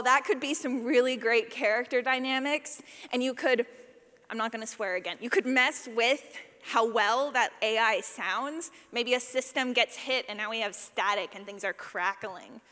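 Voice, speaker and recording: A woman giving a live workshop talk as a sound designer, animated, playful and informal, acting out examples as she goes. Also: fairly high pitch, unhurried. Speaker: session presenter, podcast sound designer. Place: large indoor session room. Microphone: presentation microphone. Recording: echoey hall, live presentation.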